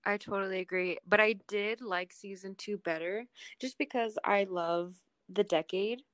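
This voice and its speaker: A woman's voice: mid-range voice, fairly even intonation, unhurried, fairly bright tone, dry recording. A young woman co-hosting a podcast in casual, agreeable, rambling chat with lots of "like". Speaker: podcast co-host.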